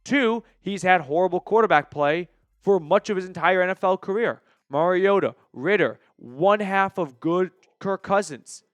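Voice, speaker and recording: A man's voice: high-pitched, normal speed, smooth, no room sound. An American man hosting a sports show, giving opinionated, emphatic commentary point by point in a conversational style aimed at viewers.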